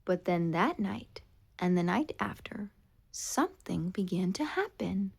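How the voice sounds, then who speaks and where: Female storybook reader for children: expressive and dramatic, slow delivery with very animated intonation. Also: mid-range voice, creaky, slightly rough. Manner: dramatic, expressive read-aloud with drawn-out words. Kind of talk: scripted children's storytime narration.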